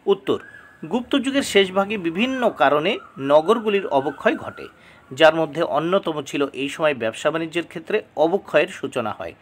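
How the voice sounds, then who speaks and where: A man's voice: fairly high pitch, lively intonation, normal speed. A man tutoring for history exams, reading questions and model answers aloud in a didactic way, announcing each item and repeating key terms.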